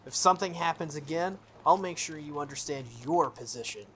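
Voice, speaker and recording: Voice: medium pitch, animated, a little fast, fairly bright tone, slight background noise, natural voice. A game player voicing an arrogant, petty teenage schoolboy with a haughty, threatening manner.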